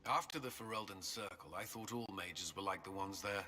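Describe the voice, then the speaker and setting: A man's voice: low voice, normal speed, pushed and bright. An actor playing a guilt-ridden male templar commander in a video game, speaking solemnly and earnestly. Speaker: game character.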